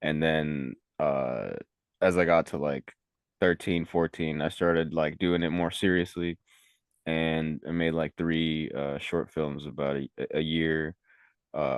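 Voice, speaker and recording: Casting: young guy rapper from Venezuela, extremely low voice, loose slangy rambling, dry close sound. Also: no echo. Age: young adult. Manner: laid-back, rambling, heavy on "like" and slang. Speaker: rapper and recording engineer. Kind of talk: casual interview chat.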